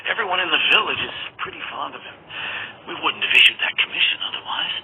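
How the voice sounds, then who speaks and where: Warm village shopkeeper, creaky voice, earnest, game dialogue, narrow tinny sound, background music. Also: male voice, a little high, animated, fast, noisy background. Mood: earnest, worried. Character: warm, talkative village shopkeeper, fond of his neighbours. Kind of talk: video game character dialogue.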